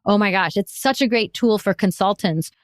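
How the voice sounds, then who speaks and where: A woman speaks as a guest, telling stories with lively enthusiasm. Her voice sits in an ordinary middle register. The recording is dry and close. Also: medium pitch, normal speed, no echo. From United States. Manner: enthusiastic, expressive, laughs often. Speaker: guest, consultant and author. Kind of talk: storytelling in an interview.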